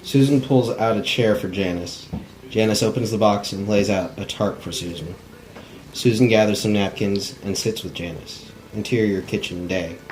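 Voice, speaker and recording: A man's voice: fairly low pitch, normal speed, a little mellow, slight background noise, rough recording. A man reading a script's stage directions as narrator, in the plain manner of a non-actor. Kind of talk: scripted narration at a table read.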